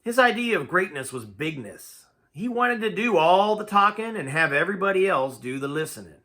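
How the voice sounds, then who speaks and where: Guy reading a folksy tale out loud, fairly high bright voice, dramatic storyteller. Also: animated, normal speed. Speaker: amateur audiobook reader. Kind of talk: animated storytelling read-aloud in first person.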